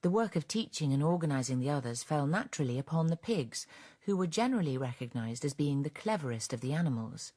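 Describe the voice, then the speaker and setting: A woman narrating a scripted radio drama in a measured storytelling manner. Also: low-pitched, animated, warm tone.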